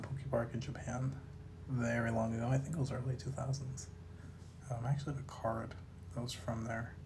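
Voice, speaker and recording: A man with a slightly raspy voice chats casually to viewers as a host, musing in a laid-back way and trailing off mid-thought. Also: mid-range voice, background noise. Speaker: host of a card collecting video series. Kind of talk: casual rambling commentary to viewers.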